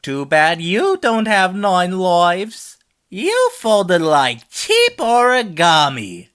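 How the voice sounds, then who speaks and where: Sassy, wildly expressive cat villain. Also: female voice, fairly low pitch, drawn-out words, full character voice. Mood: smug, taunting. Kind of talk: video game character voice lines.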